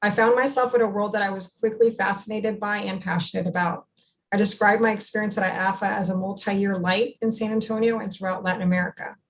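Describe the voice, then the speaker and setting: A middle-aged woman speaking as a military officer and interview guest, giving prepared answers in a formal, articulate, measured way. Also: mid-range voice, fairly even intonation, low-quality recording.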